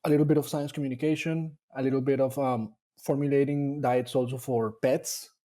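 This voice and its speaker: A man from Colombia, a dairy nutrition scientist talking about his career in a casual interview, pausing often to rethink his words. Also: mid-range voice, fairly even intonation, warm tone. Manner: thoughtful, with frequent fillers and restarts.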